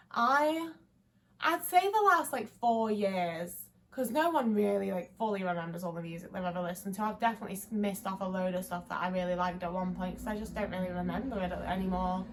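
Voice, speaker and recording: A young woman in her twenties with a Liverpool accent, chatting casually to camera in a rambling, candid and sweary way, full of "like" fillers. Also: mid-range voice. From England. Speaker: vlogger.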